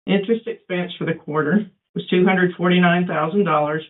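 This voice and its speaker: A woman's voice: fairly low pitch, steady tone, brisk. Businesslike female finance executive over the phone. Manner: formal, businesslike. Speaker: chief financial officer. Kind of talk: scripted financial results reading. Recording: thin conference phone line.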